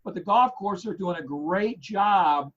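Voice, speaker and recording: A hoarse man with a slightly raised voice talks through golf news in loose, rambling chat. He stops and restarts often and leans on fillers. The sound is a bit dull and lo-fi. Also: sits high, unhurried, a bit bright. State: hoarse, losing his voice. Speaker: co-host of a golf news show. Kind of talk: casual chat.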